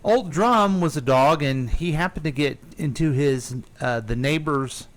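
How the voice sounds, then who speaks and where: A man's voice: fairly high pitch, a little slow. A man hosting a casual radio talk show, telling stories in a rambling way full of fillers and restarts. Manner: rambling storyteller, thinks aloud with fillers. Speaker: radio host. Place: radio studio.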